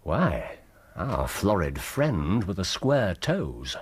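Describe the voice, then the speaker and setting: An actor playing a brilliant, self-assured Victorian detective in a British radio drama, with an English accent and a theatrical, erudite, precise delivery. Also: male voice, low-pitched, very animated, takes it slowly, husky, full character voice. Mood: brisk, assured.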